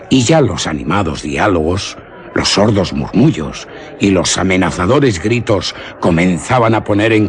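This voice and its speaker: Very deep-voiced male Spanish storyteller. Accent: Spain. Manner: solemn, measured, literary delivery. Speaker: radio drama narrator. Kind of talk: scripted suspense storytelling for radio theatre.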